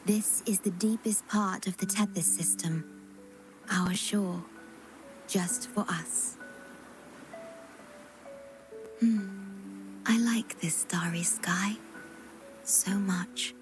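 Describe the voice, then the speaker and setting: Soft breathy woman acting a serene young guardian, unhurried. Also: mid-range voice, steady tone, lightly acted. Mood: tender, serene. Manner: measured, tender, slightly formal phrasing. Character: gentle, devoted young female guardian, serene and wistful. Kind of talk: English game dub voice acting. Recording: music playing behind the voice. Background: background music.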